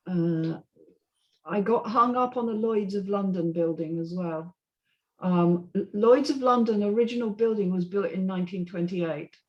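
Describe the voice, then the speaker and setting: A woman's voice: mid-range voice, muffled recording. Opinionated woman giving blunt critique. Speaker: script reading panelist. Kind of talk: unscripted critique in a panel discussion.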